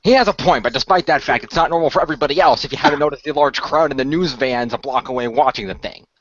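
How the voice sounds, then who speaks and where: A man's voice: medium pitch, fast, muffled recording. A man improvising dialogue as a role-play player, sounding exasperated and in charge. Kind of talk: improvised in-character dialogue, casual.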